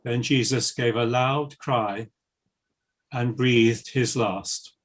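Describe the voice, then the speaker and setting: A man narrating scripture in a solemn, measured and formal reading style. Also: mid-range voice, steady tone.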